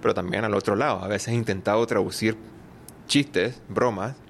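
A man with a Chilean Spanish accent talks casually as an interview guest, his low voice rough with fry. He laughs often and keeps checking in with tag questions. A faint hiss sits under the recording. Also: moderate pace.

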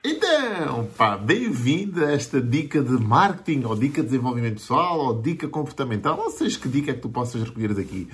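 A man's voice: medium pitch, sing-song, a little fast, hardly any pauses, a bit bright. A man in his forties with a European Portuguese accent, a marketing mentor giving an earnest motivational talk to camera and building his points through repeated lists. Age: 40s.

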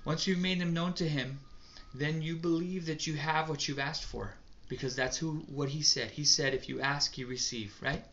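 Bright-voiced man pastor preaching. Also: on the high side, fairly even intonation, moderate pace, hardly any pauses. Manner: earnest, preacherly emphasis. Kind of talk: preaching in a talk show. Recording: dull lo-fi sound with faint noise.

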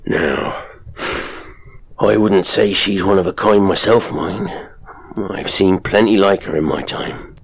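A man voicing a sardonic, rough middle-aged sideshow owner who talks in a coarse, mocking drawl. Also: low voice, takes it slowly, long pauses, exaggerated voice.

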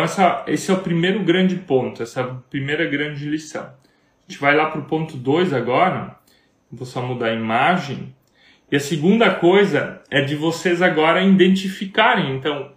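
An earnest Brazilian man co-hosting a talk on marriage, speaking in a preacherly way and asking question after question. His voice sits a little high for a man. The sound carries a roomy echo. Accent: Brazilian Portuguese.

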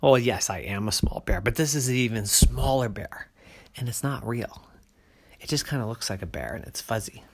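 A man voicing a sweet, polite little girl bear cub in a bedtime story, with a high, excitable voice. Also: normal speed, slightly rough. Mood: eager.

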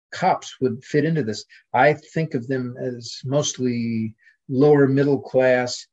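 An older man with a husky voice and a medium pitch talks as a scholarly guest. He is measured and reflective, pausing to consider his points. The recording is slightly dull and lo-fi. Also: darkish tone. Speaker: literary scholar, podcast guest. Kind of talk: reflective academic conversation.

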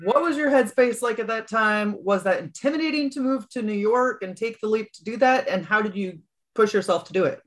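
A woman's voice: a little high, brisk. A woman hosting a conversational interview, talking casually and using "like" a lot. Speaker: podcast host.

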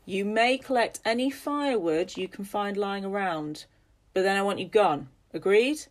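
A woman voicing a stern, haughty older gentleman landowner who gives commands. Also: mid-range voice, moderate pace, close to an everyday voice. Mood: imperious.

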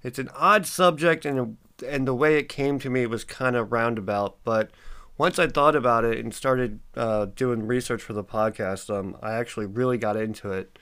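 A man's voice: medium pitch, moderate pace, fairly bright tone. Stuffy-nosed man hosting a podcast. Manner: rambling, many ums and uhs. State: congested, stuffy. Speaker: podcast host. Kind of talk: casual solo podcast monologue.

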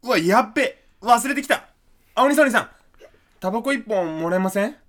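Male actor as flippant young trendy guy, highish voice, very animated, slangy, excitable, radio drama. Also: a little fast. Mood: excitable, cheeky. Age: young adult.

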